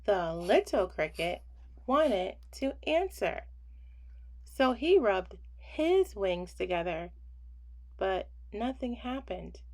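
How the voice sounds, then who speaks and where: A woman reads a bedtime story aloud at a very slow, deliberate pace. Her pitch rises and falls in a lively way, and she leaves pauses between short phrases. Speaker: children's story reader.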